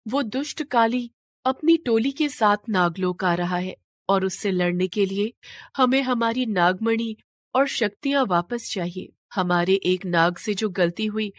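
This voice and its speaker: A woman's voice: mid-range voice, measured pace, no echo, studio quality, lightly acted. A woman voicing a devout, proud serpent queen who pleads and defies with dramatic emotion in a fantasy story. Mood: pleading. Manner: impassioned, dramatic pleading and defiance.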